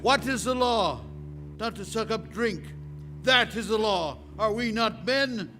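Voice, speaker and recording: A man's voice: high-pitched, animated. A man playing a solemn, commanding beast-man lawgiver, declaiming ritual words in a live radio drama. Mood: ritual chanting. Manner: slow ritual chanting, grandiose, declamatory. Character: solemn, commanding beast-man priest who chants the law. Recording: live hall recording, faint noise.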